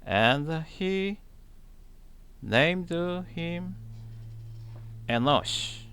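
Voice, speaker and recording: Very slow, halting male Bible reader. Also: a little high, lively intonation, a bit bright, clean tone. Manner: halting, phrase by phrase with long pauses. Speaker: Bible reader, channel host. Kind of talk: slow read-aloud of scripture.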